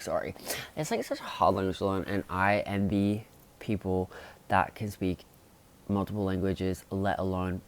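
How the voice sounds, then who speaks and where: Deep-voiced young man rambling to camera. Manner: chatty, frequent fillers, sudden excited pitch jumps. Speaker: vlogger. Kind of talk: casual rambling chat to camera.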